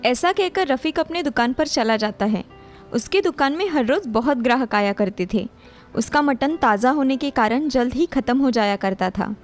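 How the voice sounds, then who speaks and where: A woman storyteller with a high voice narrates a scripted moral tale. Light music plays behind her narration. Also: animated, moderate pace.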